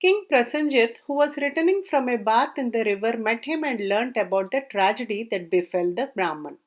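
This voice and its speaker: A woman with a high-pitched voice reads a traditional tale as a storyteller. Her delivery is scripted narration, told line by line for listeners. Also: animated, normal speed, dull audio.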